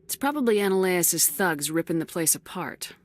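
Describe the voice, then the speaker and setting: A woman's voice: on the low side, animated, moderate pace, close to an everyday voice. A woman playing a tough military commander in a video game, speaking firmly and directly with blunt questions. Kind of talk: video game dialogue acting.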